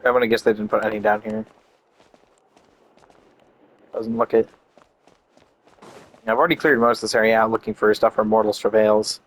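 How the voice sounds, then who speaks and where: A man's voice: lowish voice, level tone, moderate pace, long pauses, low-quality recording. A man giving casual, off-the-cuff gameplay commentary, rambling and thinking aloud as a streamer. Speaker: game streamer.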